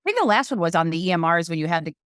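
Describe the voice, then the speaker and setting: Low-voiced woman host, rambling and enthusiastic. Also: sing-song, rapid-fire, dry recording, studio quality. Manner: enthusiastic, rambling, loose phrasing. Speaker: podcast host. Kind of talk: casual conversational chat.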